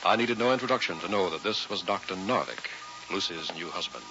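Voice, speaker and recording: An actor playing an uneasy minister in a vintage radio horror drama, speaking in a deliberate, brooding, hesitant way. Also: male voice, deep voice, expressive. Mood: haunted, tense. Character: uneasy ordained minister narrating his own ghost story. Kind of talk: vintage radio horror drama, first-person narration and dialogue. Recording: dull vintage radio recording with music.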